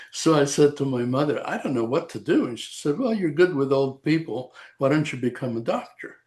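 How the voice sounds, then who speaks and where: A man in his eighties from El Salvador, a retired radiation oncologist recalling his career in a halting, rambling interview over a video call. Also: medium pitch, animated, darkish tone. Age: 80s. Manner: slow, rambling, halting with frequent "uh". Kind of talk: reminiscing interview.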